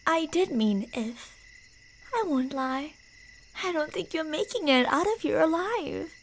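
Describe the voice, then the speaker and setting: A woman's voice: high-pitched, expressive, a little slow, a little hiss or hum. A woman performing ASMR horror roleplay as a creepy, delusional stalker, narrating in a playful, menacing way that slips into whispers. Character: creepy, teasing, delusional female yandere stalker narrating her prey's path.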